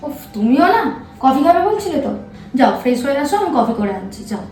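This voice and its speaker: Woman acting firm wife, high roomy voice. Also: sing-song, fast talker, darkish tone. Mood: breezy, coaxing. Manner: firm, insistent. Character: assertive, righteous wife confronting her cheating husband. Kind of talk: short-film melodrama acting. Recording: roomy echo, faint background music.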